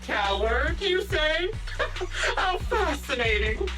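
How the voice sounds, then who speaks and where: Sadistic female game villain, high swooping voice, mock-polite, theatrical taunts, music underneath. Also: very animated, takes it slowly, bright, forceful tone, recorded from a distance, exaggerated voice. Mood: taunting. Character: mocking sadistic female sniper villain, gleefully cruel. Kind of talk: video game voice acting.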